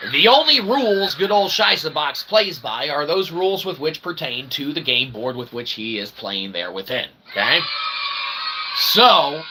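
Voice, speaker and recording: A man delivering a rambling solo monologue in wordy, mock-formal phrasing, with theatrical swings in emphasis and repeated emphatic tags. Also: medium pitch. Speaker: independent channel host and developer. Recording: noticeable background noise.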